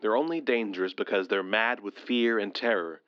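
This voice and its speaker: An actor playing a shaken husband in an audio drama, stubbornly insisting in halting phrases that all is fine. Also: male voice, on the low side, no room sound, lo-fi sound, close to an everyday voice. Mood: anxious, insistent. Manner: halting, breathy sighs, insistent. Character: shaken but stubbornly dismissive husband, alien invasion survivor. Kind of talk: modern audio drama acting.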